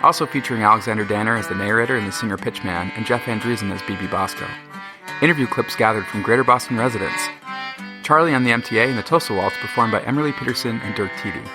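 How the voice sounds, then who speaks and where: A man reading the end credits of an audio drama in a brisk, even promotional tone. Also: fairly low pitch, low-quality recording. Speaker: credits announcer. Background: plucked guitar music.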